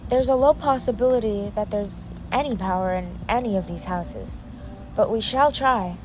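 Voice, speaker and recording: A woman's voice: medium pitch, moderate pace, a little mellow, faint music behind, lightly acted, rough recording. A woman voicing a cautious, sensible young male peacemaker in a zombie story read aloud. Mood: cautious, practical.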